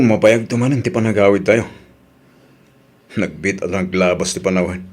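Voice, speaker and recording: A man's voice: lowish voice, natural voice. A man acting an earnest grown family man who reproves and pleads, in an Ilocano radio drama.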